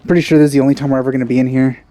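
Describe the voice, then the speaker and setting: A man's voice: medium pitch, flat delivery, rapid-fire, dark tone. A man giving casual gameplay commentary in offhand asides. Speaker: let's player.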